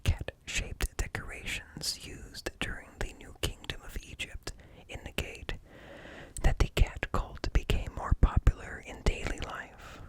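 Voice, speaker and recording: A man reading informational text in a slow, hushed, breathy whisper for ASMR, close to the microphone. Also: rough recording. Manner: slow, deliberate, hushed, broken into short phrases. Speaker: ASMR host. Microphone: close ear-to-ear microphone.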